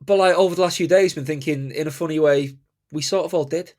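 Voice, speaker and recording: A man's voice: a little high, fast. A man with a Manchester accent hosting a football podcast, chatting casually and laughing through his stories. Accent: Mancunian. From Manchester, England. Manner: animated, laughs while telling stories. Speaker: podcast host. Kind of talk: casual chat.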